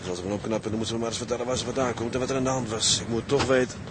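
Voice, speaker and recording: An actor playing a gruff but kindly seaman in a radio drama, talking in a homely, muttering way. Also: medium pitch, steady tone, very fast, very noisy, natural voice. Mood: musing. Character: gruff, kindly seaman tending a rescued castaway.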